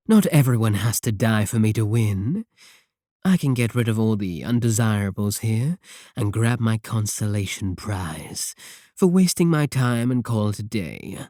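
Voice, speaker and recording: Here is a man acting out a villain from an evil organization in an ASMR audio drama. His voice is low and very mellow, recorded dry. He speaks without hurry, condescending and sardonic, with a soft, steady threat. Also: dry recording, exaggerated voice. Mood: cool, threatening. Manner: unhurried, condescending, softly threatening. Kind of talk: scripted ASMR audio roleplay.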